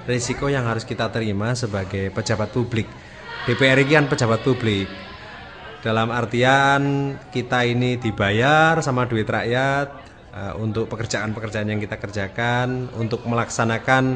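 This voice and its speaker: A man with an average-pitched voice speaks as a member of parliament. He explains himself earnestly in a semi-formal way. His delivery is measured, with halting emphasis. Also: fairly bright tone. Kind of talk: political commentary, semi-formal answer.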